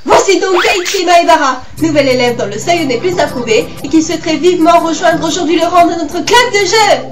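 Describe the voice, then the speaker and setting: A woman's voice: very high voice, brisk. A woman voicing a bossy, mischievous teenage girl who leads a club, grandiloquent and teasing, in an amateur anime dub. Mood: pompous, announcing.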